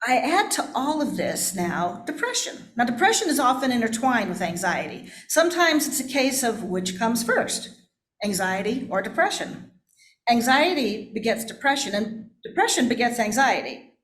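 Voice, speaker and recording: A woman's voice: fairly high pitch, very animated, moderate pace, a bit bright. A woman pastor giving a personal, confessional sermon in a candid, self-deprecating way. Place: church, indoors. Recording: echoey room.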